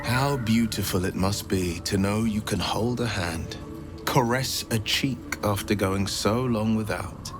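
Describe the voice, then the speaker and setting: A man's voice: lowish voice, measured pace, over music, close to an everyday voice. A man acting an earnest, noble young hero in a fantasy video game, with a grandiloquent, resolute delivery. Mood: tender, hopeful.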